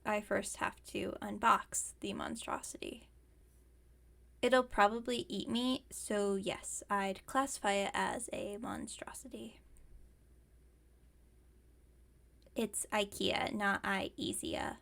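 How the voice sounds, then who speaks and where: A woman voicing a caring, wry young werewolf roommate in a casual, teasing, gently bossy ASMR roleplay monologue. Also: medium pitch, sing-song, slow, gravelly, full character voice.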